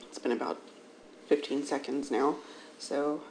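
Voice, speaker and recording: A woman with a low voice that sounds a little scratchy, as if early in the morning. She talks casually over a drawing video as the channel's host. Her delivery rambles and pokes fun at herself. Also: a little slow, vocal fry, darkish tone. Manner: rambling, self-deprecating. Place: indoors, seated at a computer.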